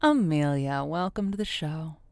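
A woman acts as the host of a romance call-in show, speaking fairly low in a very dry space. She is effusive and encouraging, leaning on her words for emphasis. It plays as loose, semi-improvised radio drama. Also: expressive, a little slow, a little mellow, no room sound.

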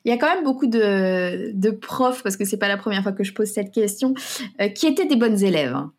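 Encouraging woman hosting a podcast, mid-pitched. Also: expressive, a little fast, darkish tone. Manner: encouraging, hesitant phrasing. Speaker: podcast host, language teacher. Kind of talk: conversational podcast interviewing.

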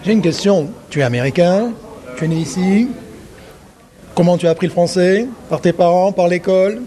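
A man's voice: sits high, moderate pace, mellow. A man co-hosting a radio chat, musing and hesitant, trailing off mid-thought. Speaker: radio co-host.

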